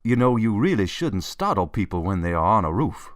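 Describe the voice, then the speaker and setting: A man playing a mild, faithful religious time traveler in an audio drama, speaking in an earnest, measured way. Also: fairly low pitch, big pitch swings, no echo, studio quality. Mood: gently reproving. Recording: loud dramatic music underneath.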